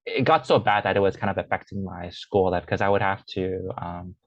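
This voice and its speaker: A lower-pitched young man speaks haltingly, full of fillers and restarts. His voice sounds a little muffled and dull, recorded dry with no room sound. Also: dry recording. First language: English; Chinese is his second language. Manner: hesitant, many fillers and restarts. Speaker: interview guest. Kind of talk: casual personal conversation.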